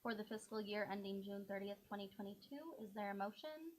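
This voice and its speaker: A woman chairing a school board meeting, calling items and votes in a brisk, procedural way. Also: mid-range voice, rough recording. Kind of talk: running a public meeting.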